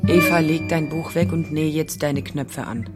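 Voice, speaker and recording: A woman's voice: sits low, steady tone, normal speed, loud music behind the voice, lightly acted. An actress in a radio play playing a firm, protective mother, speaking in an instructing, restrained manner.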